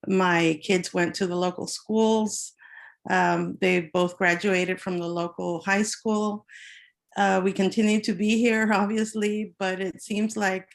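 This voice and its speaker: A woman from El Salvador speaks as an invited guest on a video call. She is unhurried and soft-spoken, with a mid-range voice. The sound is ordinary video call audio. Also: medium pitch, little pitch movement, vocal fry. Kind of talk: personal introduction.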